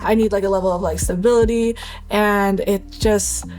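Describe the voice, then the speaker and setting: A woman's voice: medium pitch, steady tone, normal speed, a little mellow. A woman in her late twenties chatting casually and candidly as a guest, very informal and peppering her speech with "like". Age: late 20s. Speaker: guest having tarot cards read.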